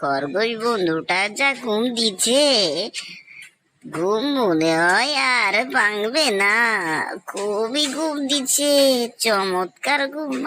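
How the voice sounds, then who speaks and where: Woman voicing a slow, bright-voiced little tortoise. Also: medium pitch, slight background noise. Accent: Bangladeshi. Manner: drawn-out, repetitive phrasing. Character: small slow tortoise, plucky, teasing, determined racer. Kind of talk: amateur cartoon story voice acting.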